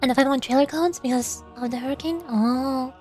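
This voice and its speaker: A woman's voice: sits high, fairly quick, darkish tone, faint music behind. A woman streaming as a VTuber, chatting casually in a playful, rambling way during a game livestream.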